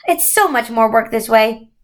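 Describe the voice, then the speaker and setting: Lady reading as grand gentle winged creature in a kids book, high voice, encouraging. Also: animated, slow pace. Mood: patient explaining. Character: majestic winged centaur-like female creature, kindly and encouraging.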